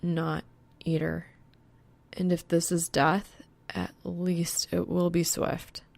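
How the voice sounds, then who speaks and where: A woman voicing an awkward, smitten young man gamer with a low-key deadpan delivery. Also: takes it slowly, gravelly, full character voice.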